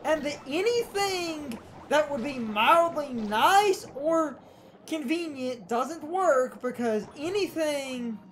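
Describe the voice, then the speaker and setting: High, swooping woman's voice chatting over games. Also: animated, slow pace. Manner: blunt, opinionated. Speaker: co-host of a let's play series. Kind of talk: casual gaming chat.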